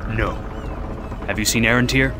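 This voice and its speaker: An actor playing a determined, defiant young warrior hero in a video game, resolute and earnest. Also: male voice, mid-range voice, fairly even intonation, normal speed, background noise, lightly acted. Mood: grim resolve. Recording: loud music behind the voice.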